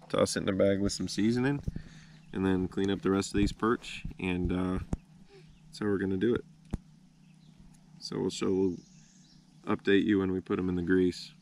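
Low gravelly man filming his campsite. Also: a little hiss or hum. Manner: relaxed, chatty, addresses viewers as guys. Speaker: fishing and camping vlogger. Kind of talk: casual how-to narration to camera. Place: outdoors at a wooded campsite. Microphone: wearable action camera.

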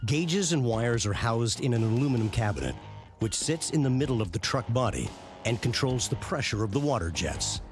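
A man reading scripted narration for a documentary series in a measured, punchy way. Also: mid-range voice, animated. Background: prominent background music.

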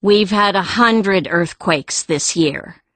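Slow, sneering, greedy troublemaker. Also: female voice, medium pitch, a bit bright, no room sound. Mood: smug scorn. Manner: scornful, smug. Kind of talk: full-cast fantasy audiobook acting.

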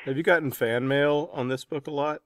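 A man's voice: a little high, fairly even intonation, a little fast, fairly bright tone, no room sound. A man hosting a conversational interview, asking an author questions. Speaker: podcast host interviewing an author.

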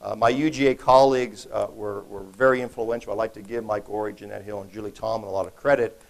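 A man's voice: on the low side, steady tone, fast talker, creaky. Chatty man giving a keynote in a hall. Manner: conversational, joking, many restarts and fillers. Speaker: keynote speaker. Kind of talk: conference keynote talk. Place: large conference hall.

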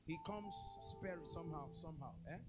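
A man with a Ghanaian English accent preaching a sermon, telling a story with acted dialogue and breaking into shouts. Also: a little high, fairly quick, over loud music. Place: church service.

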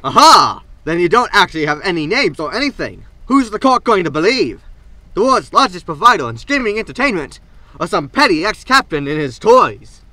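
A man's voice: high voice, sing-song, normal speed, sharp tone, no echo, full character voice. A man playing a scornful, flustered media schemer in a sci-fi comedy audio drama, sneering and exasperated. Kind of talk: science fiction sitcom audio drama.

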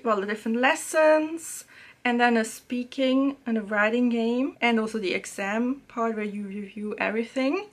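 Chatty young woman reviewing an app. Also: fairly high pitch. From Serbia. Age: young adult. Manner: chatty, relaxed, many fillers. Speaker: language learning YouTuber. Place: small home room with bookshelves.

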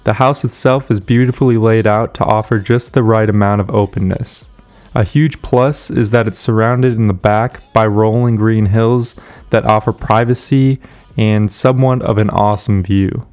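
Smooth-voiced man narrating a house tour. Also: fairly low pitch, fairly even intonation, moderate pace, darkish tone, soft background music. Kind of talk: scripted narration.

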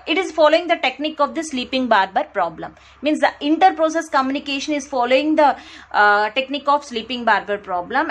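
A woman with an Indian English accent giving a whiteboard lecture, repeating phrases and pausing on fillers as she draws. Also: sits high, fairly bright tone. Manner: many fillers and restarts, repeats phrases to stress points. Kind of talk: whiteboard lecture, explaining while drawing. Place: indoors at a whiteboard. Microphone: close mic, speaker off camera, only hands seen writing.

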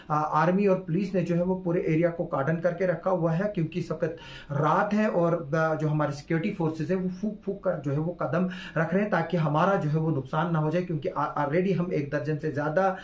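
A man's voice: on the high side, steady tone, brisk. Hesitant man in an echoey room. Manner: hesitant, heavy fillers, earnest. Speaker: news commentator. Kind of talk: solo news commentary to camera. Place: small indoor room with curtain backdrop.

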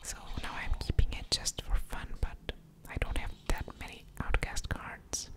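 A woman whispering ASMR game commentary close to the microphone, thinking aloud in a breathy, unvoiced murmur with soft mouth sounds. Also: moderate pace. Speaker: gaming ASMR video host.

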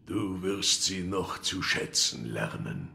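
An actor playing a gruff, cryptic old wood-carver in an audio drama, brooding and gravelly. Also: male voice, deep voice, very animated, slow, sharp tone, exaggerated voice. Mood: grave, instructive. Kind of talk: audio drama acting of a video game.

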